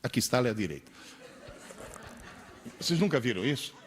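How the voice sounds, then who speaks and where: A man in his sixties from Brazil giving a lively lecture to an audience, asking rhetorical questions and acting out little dialogues. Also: medium pitch, a little fast, slight background noise. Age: 60s.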